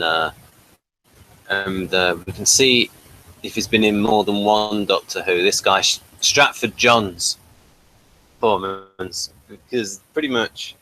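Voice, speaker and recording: Bright-voiced man in casual review chat. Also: fairly low pitch, low-quality recording. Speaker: podcast co-host.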